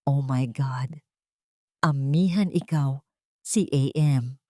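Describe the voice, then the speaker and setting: A woman's voice: a bass voice, takes it slowly, a little mellow, no room sound, clean studio sound, pitched below the performer's own voice. A woman voicing a proud female socialite in an audio story, holding her poise with forced firmness. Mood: shocked.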